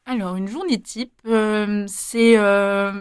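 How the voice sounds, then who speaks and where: A woman's voice: mid-range voice, fairly even intonation, slow pace, smooth, no echo, clean studio sound. A young woman answering interview questions casually, hesitating often with fillers and laughing easily. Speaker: interviewee, former volunteer abroad.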